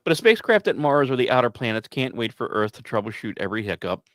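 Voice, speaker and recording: A man's voice: mid-range voice, sing-song, fast talker, no room sound, studio quality. A man hosting a podcast, reading scripted science news commentary with emphatic, dramatic phrasing and short punchy repeats, dropping in personal asides. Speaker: podcast host.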